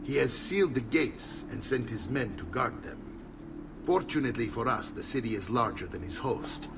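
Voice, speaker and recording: An actor playing a proud, middle-aged mercenary uncle and mentor with an Italian accent in a video game cutscene. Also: male voice, mid-range voice, lively intonation, moderate pace, natural voice. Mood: commanding. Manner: theatrical, commanding, instructive. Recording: music behind the voice.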